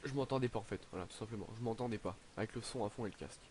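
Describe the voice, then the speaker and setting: A teenage boy narrating his game in a casual, hesitant way, filling pauses with "donc, euh" and sounding slightly stuffy from a cold. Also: fairly low pitch, a little mellow, a little hiss or hum. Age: teens. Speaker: gaming YouTuber. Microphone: headset.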